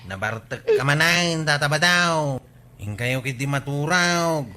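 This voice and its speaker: A man's voice: a little high, measured pace, pushed and bright. A man playing a concerned younger villager in an Ilocano comedy radio drama. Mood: worried scolding.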